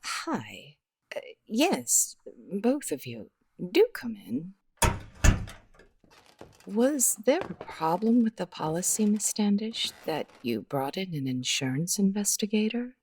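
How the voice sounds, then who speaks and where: Guarded widow acted by a woman, slow, expressive delivery, formal, defensive, radio drama, faint background noise. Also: mid-range voice. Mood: guarded politeness. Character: guarded, entitled new widow, polite but defensive.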